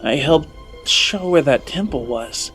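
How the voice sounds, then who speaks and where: A man playing a weak, confused male captive in a tabletop game, asking halting, bewildered questions. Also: a little high, lively intonation, a bit bright, faint music behind. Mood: bewildered, distressed. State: coughing, groggy.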